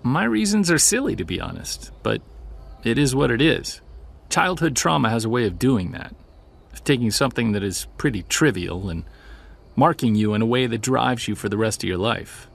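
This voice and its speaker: A man acting a seasoned, driven investigator in an audio drama, earnest and measured, with a gravelly, husky voice. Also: medium pitch, expressive. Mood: reflective, resolute. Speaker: voice actor. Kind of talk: naturalistic audio drama dialogue.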